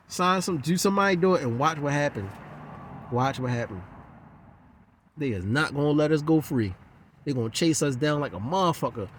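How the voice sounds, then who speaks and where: A man's voice: a little high, a little hiss or hum. A man giving a heated, profane, rambling monologue in a casual rant.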